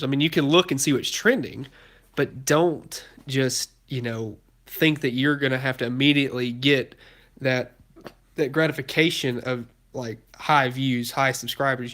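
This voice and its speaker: A man's voice: medium pitch, a bit bright. A young man talks loosely to his viewers while filming on a phone in a cluttered home garage. He sounds congested, like he's losing his voice, and rambles with fillers and false starts. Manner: rambling, lots of fillers and restarts. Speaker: car YouTuber hosting a live stream. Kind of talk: casual live stream, answering chat questions. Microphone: phone held while filming.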